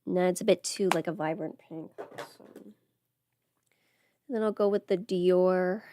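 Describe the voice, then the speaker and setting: A woman's voice: fairly low pitch, steady tone, unhurried, background noise. A woman thinking aloud in a casual, rambling livestream chat as she gets ready, swearing lightly. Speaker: livestream host.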